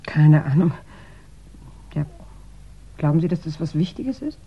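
A woman's voice: deep voice, expressive, unhurried, slightly rough, heavily acted. An actress playing a devoted, anxious younger woman in a 1960s German radio crime play, earnest and hesitant. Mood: relieved, devoted. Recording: dull 1960s radio recording.

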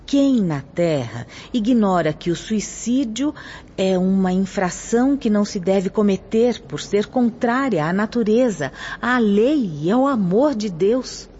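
A woman's voice: mid-range voice, animated, dark tone, a little hiss or hum, lightly acted. A woman acting a grave spirit teacher in a radio drama, explaining moral law in a solemn, didactic declamation. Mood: grave, instructive. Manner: solemn, didactic, measured emphasis. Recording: muffled lo-fi audio with music behind. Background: background music.

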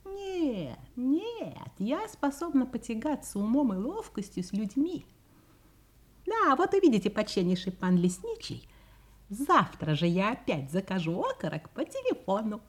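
An actress playing a sly, proud trickster fox in a fairy-tale audio play, theatrical and ironic. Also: female voice, on the high side, sing-song, measured pace. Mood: mock repentant, defiant.

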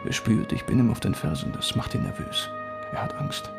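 An intense, secretive investigator in a radio thriller. His low voice is gravelly and moves restlessly up and down as he confides with urgency. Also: animated, normal speed, over loud music. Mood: conspiratorial. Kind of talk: radio crime drama acting.

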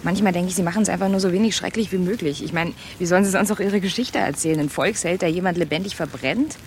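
A woman's voice: fairly low pitch, fast talker, a little hiss or hum, close to an everyday voice. An actress playing a rich businessman's sardonic, outspoken daughter in a radio crime drama, with a blunt, mocking way of talking. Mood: bitter, blunt.

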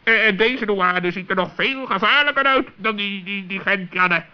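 An actor playing a fussy talking bird in a children's radio play, with a hemming way of speaking. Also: male voice, high-pitched, normal speed, clean tone, natural voice. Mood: wary. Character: fussy talking bird, travelling companion of a gnome. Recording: dull old radio play recording.

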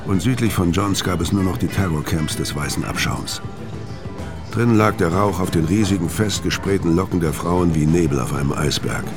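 A man's voice: low-pitched, moderate pace, close to an everyday voice. An actor playing a world-weary, middle-aged hitman who narrates his crime story in a laconic, brooding way in a radio drama. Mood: brooding, bitter. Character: world-weary dying male syndicate killer, hard and laconic. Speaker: first-person narrator and lead actor. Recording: studio radio play with loud music underneath. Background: loud music.

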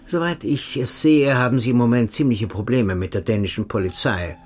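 A man voices a cold, mocking spy in a radio drama, speaking in an ordinary mid-range male voice that turns hushed and sinister. Faint music plays behind him. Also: mid-range voice, brisk, breathy edge, lightly acted. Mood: coldly mocking. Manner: calm, mocking, sinister, drops to hushed tones. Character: cold, smug male agent of a secret service, middle-aged. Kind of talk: radio play acting.

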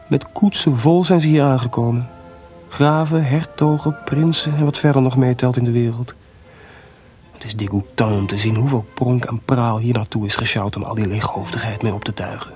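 A man's voice: mid-range voice, a little mellow, close to an everyday voice. Reflective weary composer, played by a man, music behind. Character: male composer writing weary, tender, bitter letters. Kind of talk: radio play monologue, letters read aloud.

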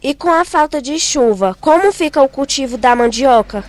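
A woman's voice: high voice, animated, moderate pace, a little hiss or hum. A young female student reporter with a Northeastern Brazilian accent from Ceará, asking scripted interview questions. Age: child or young student. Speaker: radio reporter.

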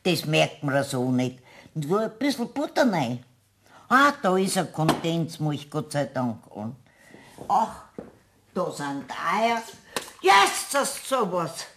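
A woman's voice: low voice, big pitch swings, slow pace, noisy background, heavily acted. An actress playing a talkative, scatterbrained housekeeper woman with a Bavarian accent in a radio play, colloquial and full of exclamations. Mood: flustered. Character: chatty, well-meaning, scatterbrained housekeeper woman. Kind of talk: German radio play acting.

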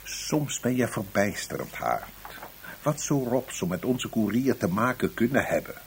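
A man's voice: lowish voice, big pitch swings, measured pace, a little hiss or hum. An actor playing an exasperated intelligence chief in a radio play, sardonic and commanding with his staff. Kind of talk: radio drama acting.

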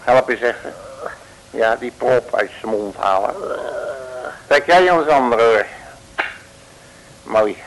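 Give me a boastful bumbling detective, gravelly and very animated, for an old radio play. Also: male voice, mid-range voice, takes it slowly, pauses often, slight background noise, low-quality recording. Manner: blustering, with made-up curses and sudden shouts. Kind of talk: 1950s radio play acting.

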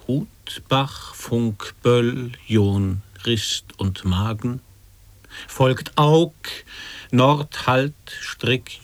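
A solemn radio-play narrator with a fairly low voice. He speaks slowly and precisely, formal even when the material is absurd, in a very dry studio sound. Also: no room sound. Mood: staccato, incantatory. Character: solemn male narrator of absurd tales and word lists. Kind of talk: scripted radio drama narration, sound poetry.

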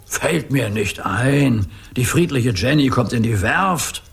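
Commanding old grandpa sea captain. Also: male voice, medium pitch, expressive, slow pace, vocal fry. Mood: reassuring. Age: older. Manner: commanding, nautical, grandly earnest. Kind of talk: children's radio play acting.

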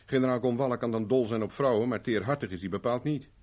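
A man's voice: medium pitch, quick pace, a little mellow, natural voice. A man acting in a 1970s Dutch radio play as a dry, stubborn private investigator with a laconic, sardonic manner.

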